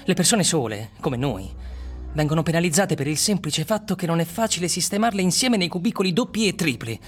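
Weary, sardonic city dweller, higher-voiced. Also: male voice, animated, brisk, slightly rough. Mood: bitter, earnest. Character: weary, sardonic city man squeezed by overcrowding. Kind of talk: radio play acting. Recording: music bed under the voice. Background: music.

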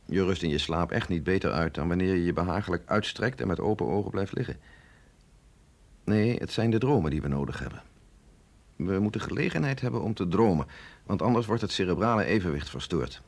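Husky, low-voiced chief medical officer. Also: male voice, lively intonation, fairly quick, exaggerated voice. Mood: earnest, confiding. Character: explanatory chief medical officer, male, earnest and learned. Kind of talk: Dutch radio drama acting.

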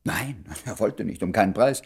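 An actor playing an inquisitive, easily flustered doctor in a German radio play, speaking with insistence and hesitation while feigning indifference. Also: male voice, on the low side, moderate pace, breathy edge. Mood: awkward, feigned indifference.

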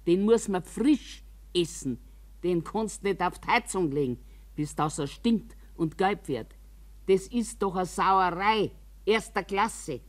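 Slow, grumbling old Bavarian woman. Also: fairly low pitch. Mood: indignant, disgusted. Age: older. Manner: rambling, complaining, confiding, with emphatic asides. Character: grumbling, wary old woman living in a care home. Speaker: actor in a radio monologue. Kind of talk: radio drama monologue in colloquial speech.

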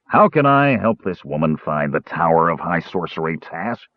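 A man playing a big, gruff, drunken warrior, gloomy and self-pitying, in a fantasy audiobook. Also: sits low, sing-song, normal speed, no room sound, highs cut off. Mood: sullen.

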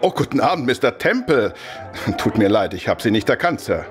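Ein Mann spielt in einem Hörspiel einen respektvollen, etwas verlegenen und entschuldigenden Polizeibeamten. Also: male voice, medium pitch, very animated, brisk, soft background music, natural voice. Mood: flustered, polite. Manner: apologetic, polite. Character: flustered, respectful police superintendent. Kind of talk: German radio play acting.

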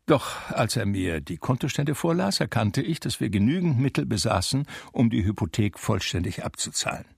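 An actor playing a reflective brother narrating a radio drama in a dry, measured, literary way. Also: male voice, mid-range voice, very animated, gravelly, husky, dry recording, heavily acted. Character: wry, reflective brother recalling his eccentric household.

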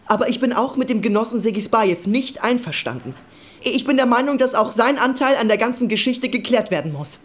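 A woman playing an earnest party comrade in a radio drama, arguing insistently and a little haltingly for fairness. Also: fairly high pitch, expressive, lightly acted. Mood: polite, firm. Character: earnest young party comrade, shepherd's child, pleading for fairness. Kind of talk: German radio drama acting.

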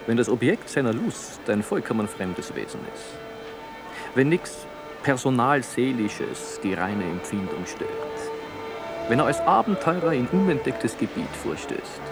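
An actor playing an opinionated man who theorises emphatically in a conversational radio play scene. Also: medium pitch. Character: opinionated man theorising boldly about the seducer's lust.

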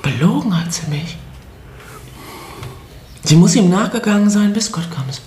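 A woman playing a blunt, excitable village woman. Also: mid-range voice, measured pace, a little hiss or hum, close to an everyday voice. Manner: blunt, exclaiming. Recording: roomy radio drama scene, faint ambience.

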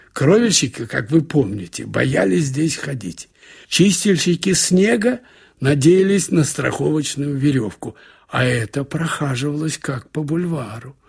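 A man narrating a short story in a conversational, wry way, with expressive asides, like a radio audiobook reader. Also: a little high, unhurried, vocal fry, husky. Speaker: narrator reading literary prose.

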